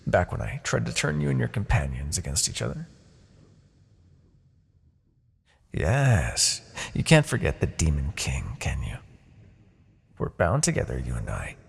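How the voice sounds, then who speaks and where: A man playing a smug, seductive, possessive Demon King in a fantasy ASMR roleplay, with a gravelly, breathy voice and theatrical, taunting delivery. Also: low voice, wildly swooping pitch, exaggerated voice. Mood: taunting, intimate. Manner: theatrical, taunting, drawn-out phrasing with soft asides.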